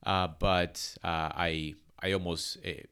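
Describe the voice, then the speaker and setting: A man's voice: low-pitched, level tone, slow, sharp tone, clear, resonant tone. A man speaking as a pastor on his church's podcast, congested from allergies, talking in an earnest, careful way with many fillers and restarts.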